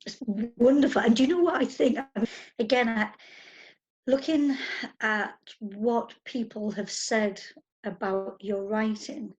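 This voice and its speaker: A woman's voice: on the high side, fairly even intonation, measured pace, darkish tone, breathy edge, dull audio. A woman hosting an author interview, asking long rambling questions full of "you know" and restarts. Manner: rambling, with many fillers and backchannels. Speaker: interviewer host. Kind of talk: conversational interview.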